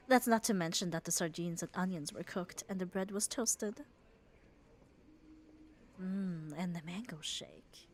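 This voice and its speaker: Girl voicing strong protective yandere gf for asmr, soft soothing and lovey, slips into whispers, noticeable noise behind her. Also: on the low side, slow pace, long pauses. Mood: playful, doting. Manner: tender, soothing, often drops to a whisper. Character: muscular, devoted, gently dominant yandere girlfriend. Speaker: ASMR voice performer. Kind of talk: ASMR roleplay, soft intimate acting.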